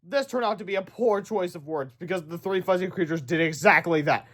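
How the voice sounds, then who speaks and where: A man reading a children's book aloud as storyteller, animated, with casual asides and stumbles over words. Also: high voice, brisk.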